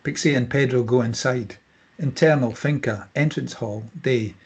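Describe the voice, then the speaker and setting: A man's voice: medium pitch, moderate pace, creaky, husky. A man narrating the stage directions at a screenplay table read, in a scripted narration style.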